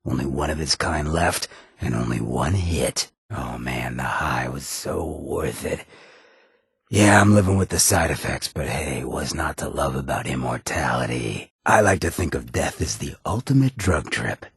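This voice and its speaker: An actor playing a roguish, irreverent ghoul in a video game, with a raspy American voice and a wry, cocky, streetwise drawl. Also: male voice, extremely low pitch, lively intonation, a little slow, no room sound, studio quality, exaggerated voice. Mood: wistful, wry, then defiant, unrepentant. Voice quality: raspy, gravelly. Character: roguish drug-loving irradiated ghoul, swaggering, irreverent, loyal. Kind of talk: video game companion dialogue.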